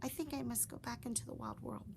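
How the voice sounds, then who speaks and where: A woman voicing a shy, sorrowful young male duckling in a read-aloud storybook, speaking softly and plaintively. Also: on the high side, fairly even intonation, quick pace, vocal fry, background noise, pitched above the performer's own voice. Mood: forlorn.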